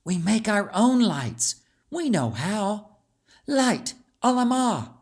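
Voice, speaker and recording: Man voicing a plucky, high-voiced young girl. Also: very animated, slow, exaggerated voice. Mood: urging. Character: plucky young girl, bossy and adventurous ringleader. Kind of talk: audiobook character voice.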